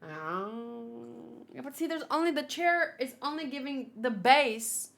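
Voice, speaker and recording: Casting: female livestream host, high voice, casual and exclamatory, chats off the cuff with viewers. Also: slow pace, gravelly. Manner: animated, exclamatory, thinking aloud. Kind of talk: casual livestream chat, reading and answering viewers.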